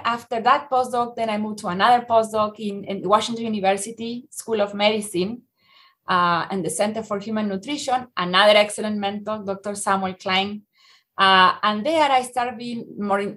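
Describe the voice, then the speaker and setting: A woman's voice: mid-range voice, a bit bright. A middle-aged woman from Argentina with an Argentine Spanish accent, a researcher talking in a podcast interview, often restarting and filling with "uh". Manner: halting, with many restarts and fillers. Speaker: researcher guest.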